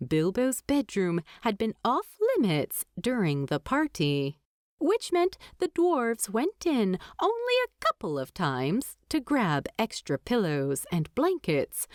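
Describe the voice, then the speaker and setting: Slow, expressive woman storyteller. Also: a little high, no room sound, clean studio sound. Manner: unhurried, expressive, deliberate phrasing. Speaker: narrator. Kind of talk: scripted fiction narration, audiobook storytelling.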